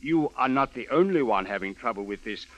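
An actor playing a stern police captain in a 1940s radio drama, speaking in precise, emphatic, formal officialese. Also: male voice, medium pitch, expressive, brisk, fairly bright tone. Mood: grave, firm. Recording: dull vintage radio recording, dry.

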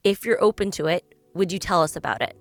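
A woman's voice: fairly low pitch, quick pace, no room sound. A woman hosting a podcast, reading an earnest introduction and asking interview questions. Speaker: podcast host.

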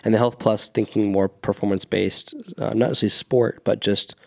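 Low gravelly man explaining fitness. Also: flat delivery, a little fast. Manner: earnest, explanatory, with restarts. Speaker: podcast co-host, fitness coach. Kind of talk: casual podcast conversation.

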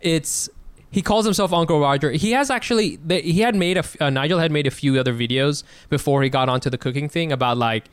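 A man's voice: a little high, fast talker, smooth, no room sound. A man co-hosting a casual comedy chat, telling stories animatedly and laughing often. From Vietnamese heritage.

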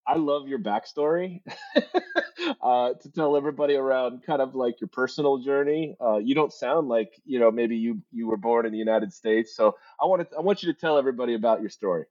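A man's voice: mid-range voice, brisk, darkish tone, lo-fi sound. A man hosting an interview as a company leader, upbeat and conversational, with many fillers like "um" and "you know".

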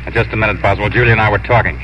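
A man's voice: fairly low pitch, fairly even intonation, racing, slight background noise, natural voice. An actor playing a steadfast, lovelorn young Texan rancher who narrates his story in a 1950s radio drama. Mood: earnest, firm. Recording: muffled old 1950s radio, music behind.

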